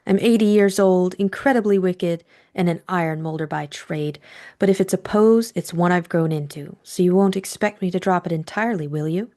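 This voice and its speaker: A woman playing a coy, anxious young femme fatale who feigns innocence, hushed and demure, in an audiobook drama. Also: lowish voice, normal speed, lightly acted. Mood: playful.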